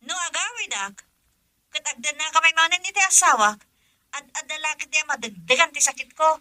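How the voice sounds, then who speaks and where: A woman's voice: high-pitched, animated, fairly quick, sharp tone, no echo, full character voice, rough recording. A woman with an Ilocano accent playing an ill young wife who hides from her husband in a radio drama, subdued and evasive.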